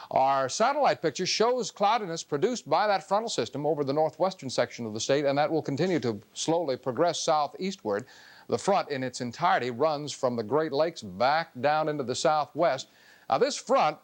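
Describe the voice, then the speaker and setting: Higher-pitched American TV weatherman. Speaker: TV weather forecaster. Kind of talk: ad-libbed weather forecast.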